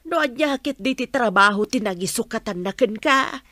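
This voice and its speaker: A woman's voice: on the high side, lively intonation, moderate pace, sharp tone, no room sound, soft background music. An actress with an Ilocano accent playing a blunt, gossipy mother of a grown son in a radio drama, chiding as she talks. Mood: chiding, curious.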